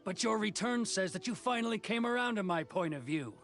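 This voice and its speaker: Gravelly, haughty stern officer. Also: male voice, sits high, lively intonation, brisk, fairly bright tone, soft background music. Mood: cold, haughty. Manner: clipped, haughty. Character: stern, proud commission officer and swordsman, coldly dutiful. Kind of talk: English video game voice acting.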